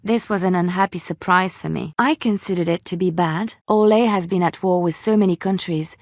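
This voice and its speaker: Woman acting young bard spy lady for a fantasy game, french accent, measured and earnest, very dry close recording. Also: lowish voice, no room sound, lightly acted. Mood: earnest, regretful. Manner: measured, earnest, formal phrasing. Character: young female bard and former spy, soft-hearted but cunning. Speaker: video game voice actor. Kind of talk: dramatic game dialogue.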